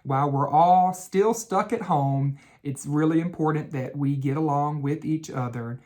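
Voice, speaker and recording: A man speaking as an educator in a bedtime read-aloud for children, with a gentle, instructive way of talking and drawn-out key words. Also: a little high.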